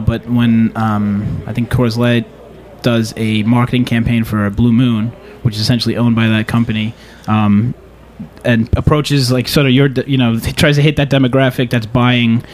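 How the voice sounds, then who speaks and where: Halting man hosting casual radio talk. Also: medium pitch, flat delivery. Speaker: talk show host.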